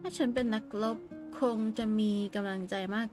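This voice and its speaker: A woman's voice: fairly high pitch, animated, rapid-fire. A woman game streamer giving casual playthrough commentary and reading dialogue aloud. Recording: game music behind the voice.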